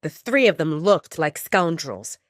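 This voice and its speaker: A woman's voice: sits low, big pitch swings, a little slow, dry recording, studio quality. A woman reading scripted romance fiction as the narrator of an audio drama.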